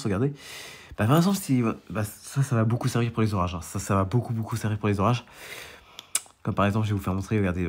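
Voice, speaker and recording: Young dude with a head cold, nasal and stuffed up, low-ish voice, keeps restarting. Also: male voice, a little mellow. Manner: rambling, repetitive, full of restarts; grumbling about being sick. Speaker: storm chaser and weather vlogger. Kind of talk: casual screen-share walkthrough.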